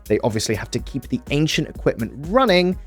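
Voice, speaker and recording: Man presenting with very lively intonation. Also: a little high, a little fast, soft background music. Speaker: presenter of an explainer video. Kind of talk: scripted explainer narration with casual asides.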